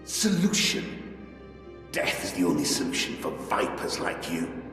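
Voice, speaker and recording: Deep, echoing, bitter blind ghost. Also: male voice, wildly swooping pitch, measured pace, fairly bright tone, full character voice. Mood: bitter, accusing. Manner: menacing, rambling, repetitive. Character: bitter, mad, blinded ghost of a murdered Sith lord. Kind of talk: dramatic video game acting. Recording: cavernous long reverb over music.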